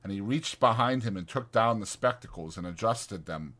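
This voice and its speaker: A male narrator reads literary prose for a dramatized audiobook. His pitch moves in a lively, expressive way as he delivers the scripted narration. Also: normal speed.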